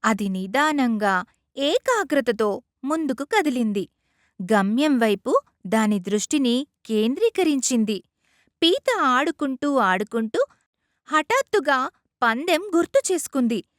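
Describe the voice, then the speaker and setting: Female storyteller for kids' moral tales: expressive, unhurried, close dry sound. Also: high voice, no room sound. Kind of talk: scripted children's moral-story narration.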